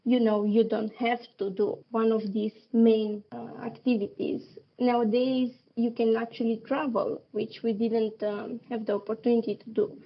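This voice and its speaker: A woman in her thirties from Romania, speaking English as a podcast guest, laughing often and pausing with fillers. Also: a little high, muffled recording. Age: 30s. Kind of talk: casual interview chat.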